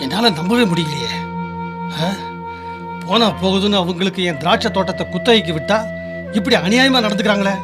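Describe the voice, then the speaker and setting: A man playing a trusting landowner and father in a Tamil radio drama, with a commanding, expressive delivery. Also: high-pitched, a little fast, long pauses, over music. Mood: troubled, hopeful.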